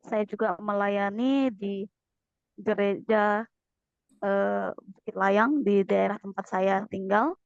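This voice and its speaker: A woman from East Kalimantan introduces herself slowly and carefully. The audio is dry and somewhat muffled, like a video call. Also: medium pitch, creaky, clean tone, dry recording. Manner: slow and measured. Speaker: church worker. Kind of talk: class self-introduction.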